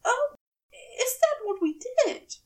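A woman voicing an anxious, fussy gentleman who apologises and pleads politely in a fan fiction audiobook. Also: very high-pitched, sing-song, slow pace, distant mic, voice pushed up, full character voice. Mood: bewildered, apologetic. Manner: fretful, polite, flustered pleading.

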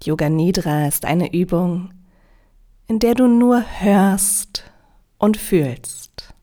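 A woman guides a deep relaxation meditation. Her voice sits a little low and she speaks very slowly. She sounds calm and soothing, leaving long, measured pauses between phrases. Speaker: yoga nidra guide.

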